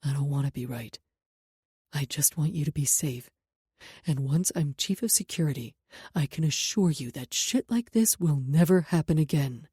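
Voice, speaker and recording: A woman reading the part of a protective male bodyguard, speaking low and calmly to reassure. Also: moderate pace, breathy edge, dry recording, clean studio sound, exaggerated voice. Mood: tender.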